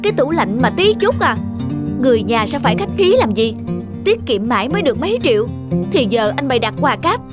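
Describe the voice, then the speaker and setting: Sharp-tongued thrifty housewife: sarcastic cartoon delivery, higher pitch, clear music bed. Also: female voice, big pitch swings, brisk. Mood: sarcastic, annoyed. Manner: sarcastic, complaining.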